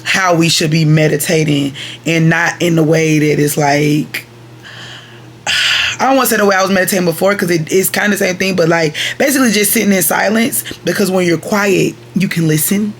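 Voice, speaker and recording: A woman talks casually to her viewers from her vanity at home, her voice raspy with plenty of gravelly fry. She rambles and circles back, chatting straight to the camera. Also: on the low side, moderate pace. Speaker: vlogger.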